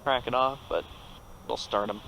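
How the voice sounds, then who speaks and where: A man with a bright, medium-pitched voice narrates his flying step by step. He is casual and technical, recorded in a cockpit with faint engine noise behind him. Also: fairly even intonation, normal speed, vocal fry, rough recording. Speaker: pilot and flight vlogger. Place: cockpit of a turboprop plane in flight.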